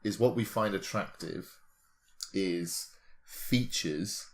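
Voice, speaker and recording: A man's voice: sits low, takes it slowly. A man co-hosting a podcast, chatting casually with a wry, teasing, comic manner. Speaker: podcast co-host.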